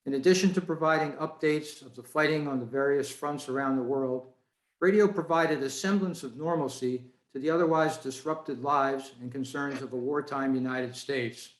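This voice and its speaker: A man's voice: medium pitch, steady tone, normal speed. A man presenting a prepared talk to a club over a video call, in a roomy-sounding space.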